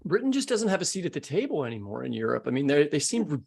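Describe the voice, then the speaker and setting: A man's voice: on the high side, sing-song, quick pace, gravelly, darkish tone, slightly rough. A man hosting a podcast, chatting casually as he puts questions to a guest. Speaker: podcast host. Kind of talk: casual interview talk.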